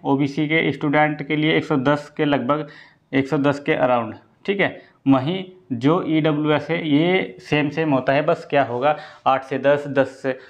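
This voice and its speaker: Casting: male exam coach, informal and explanatory, repeats points, checks understanding; muffled lo-fi audio, mid pitch. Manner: explanatory, repetitive, often checks with "okay". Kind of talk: informal exam-prep lesson to students. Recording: dull lo-fi sound.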